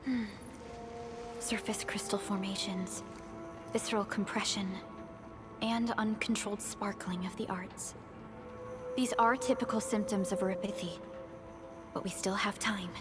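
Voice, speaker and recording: An actress playing a composed, caring female medic in a video game, gentle and measured in manner. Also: medium pitch, steady tone, close to an everyday voice. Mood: caring, focused. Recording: music behind.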